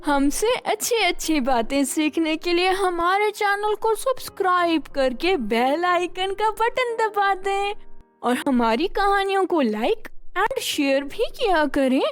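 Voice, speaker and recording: A woman narrating a scripted children's bedtime story in a gentle, slow way, addressing the kids. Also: very high-pitched, animated, faint music behind.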